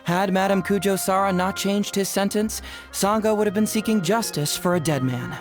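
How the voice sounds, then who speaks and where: A confident young detective from a video game, voiced by a man. He talks in a smooth, teasing, glib way, laying out his reasoning with wry assurance, while music plays clearly behind him. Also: high voice, moderate pace, natural voice. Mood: explaining, confident. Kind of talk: English video game voice acting. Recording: studio voice under game music. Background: game background music.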